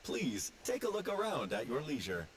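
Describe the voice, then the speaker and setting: A man's voice: on the high side, huge pitch swings, normal speed, slight background noise. A man voicing a formal, courteous mechanical tour guide in a video game, speaking like a scripted announcer. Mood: courteous, welcoming. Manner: scripted, polite announcer delivery.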